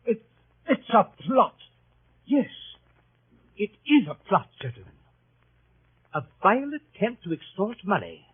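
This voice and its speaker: An actor playing an indignant, spluttering English gentleman in a vintage radio drama, on a muffled old recording. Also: high voice, very animated, measured pace, long pauses, breathy edge, exaggerated voice. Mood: outraged. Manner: spluttering, emphatic. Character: indignant, blustering English gentleman wrongly accused. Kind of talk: 1930s radio acting.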